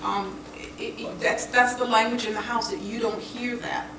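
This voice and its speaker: A woman's voice: a little high, steady tone, normal speed, crowd noise. A woman whose first language is German answers audience questions on a panel, chatting easily, laughing and searching for words now and then. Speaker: panel speaker. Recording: roomy, distant, noisy hall recording.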